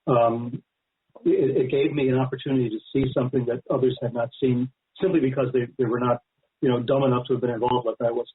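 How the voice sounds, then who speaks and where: A man's voice: mid-range voice, level tone, quick pace, slight background noise. A man speaking as a guest author in a radio interview, explaining at length in a conversational, emphatic and digressive way. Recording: narrow-band phone-like line.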